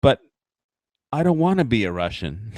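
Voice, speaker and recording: A man's voice: mid-range voice, sing-song, moderate pace, darkish tone, no room sound. A man hosting a livestream, rambling in casual commentary with frequent fillers, profane and mocking. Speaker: livestream host.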